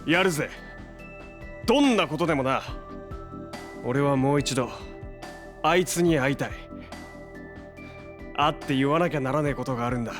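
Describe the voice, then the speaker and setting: A man playing a brash, casual young hero in an audio drama, with slangy, expressive delivery. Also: medium pitch, normal speed, pauses often, over music, lightly acted. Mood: eager, determined. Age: young adult.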